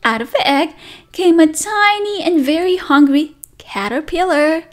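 A woman's voice: extremely high pitch, unhurried, faint music behind. A woman teacher reading a picture book aloud to toddlers, asking questions and counting along with them. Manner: animated sing-song, asks children questions and counts along. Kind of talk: read-aloud circle time for toddlers. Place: indoors at a table, only hands and book in frame.

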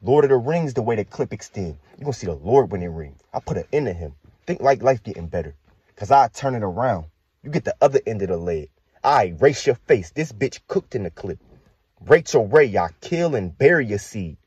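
Give this a young American man rapping battle verses with no beat. His voice is very husky and rough, and his pitch swings in a lively way as he taunts and boasts. The sound is dry and close. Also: fairly quick, dry recording, lo-fi sound. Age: young adult. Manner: aggressive, boastful, taunting delivery. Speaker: battle rapper. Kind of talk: a cappella battle rap verses.

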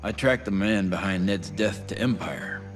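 Man acting a deep-voiced, grim young gunslinger. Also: normal speed, lightly acted. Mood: grim resolve. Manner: terse, menacing. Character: grim vengeful young gunslinger, terse and menacing. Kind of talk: video game western acting. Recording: game audio with loud music behind.

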